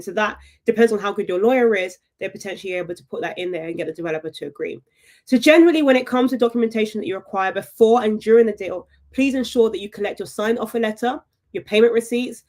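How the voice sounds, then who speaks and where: A woman hosting a live property webinar, chatty and upbeat, often checking in with her viewers with a quick "right?". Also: medium pitch. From United Kingdom. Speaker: property investment webinar host.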